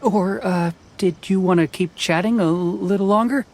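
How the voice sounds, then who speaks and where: A man's voice: high-pitched, normal speed, a little mellow. A man playing a humble, dutiful young boatwright in an anime game, polite and a little hesitant. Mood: apologetic, cautious.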